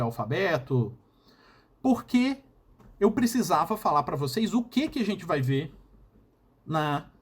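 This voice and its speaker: A man with a Brazilian Portuguese accent and a husky voice, giving a recorded university lecture and often checking in with the class. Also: high-pitched. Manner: explanatory, frequent checking "tá?" and "né?".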